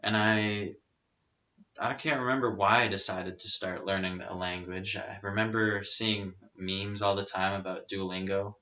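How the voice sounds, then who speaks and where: A man chatting casually as a podcast guest, explaining things in a relaxed way with frequent fillers. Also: on the low side, measured pace. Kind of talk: casual chat. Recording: rough lo-fi audio.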